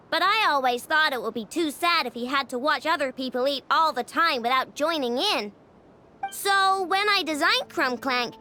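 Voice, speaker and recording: Very high, bright, plucky girl inventor. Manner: bold, spirited. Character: plucky, cheeky young girl inventor, protective. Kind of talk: anime-style video game acting.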